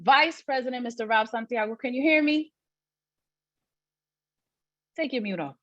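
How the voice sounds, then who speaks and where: A woman's voice: fairly high pitch, normal speed, a bit bright, no room sound, dull audio. A woman hosting a training on a video call, introducing the next speaker with emphatic, preacher-like praise.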